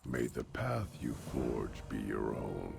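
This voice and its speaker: A male actor playing a solemn, gruff fantasy game character with a gravelly, measured voice. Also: rumbling low, wildly swooping pitch, music in the background, heavily acted.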